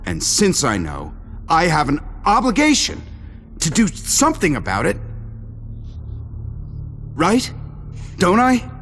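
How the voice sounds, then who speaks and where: A driven inventor hero in a game cutscene. His mid-pitched voice swings widely, intense and emphatic, over faint music. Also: wildly swooping pitch, takes it slowly, long pauses, a bit bright, full character voice. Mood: agitated, searching. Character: impassioned weapons inventor turned superhero, driven adult man. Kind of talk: scripted video game acting.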